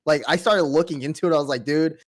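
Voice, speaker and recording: A man's voice: on the high side, steady tone, fast talker. Young male host, nasal voice, casual rambling storyteller. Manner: laid-back storytelling, heavy filler and swearing. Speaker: podcast host. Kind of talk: casual chat.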